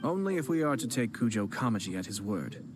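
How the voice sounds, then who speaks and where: A man's voice: mid-range voice, very animated, a little fast, close to an everyday voice. An actor playing a composed, gracious young nobleman in a game, speaking in a polished, courteous way. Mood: calm. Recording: game audio with music underneath. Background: game music.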